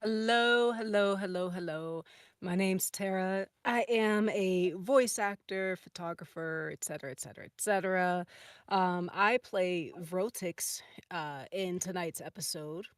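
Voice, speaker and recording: A woman's voice: lowish voice, unhurried, clear, resonant tone, dry recording, clean studio sound. A woman speaking as a panel guest in casual, informal, laid-back chat. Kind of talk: casual self-introduction and chat.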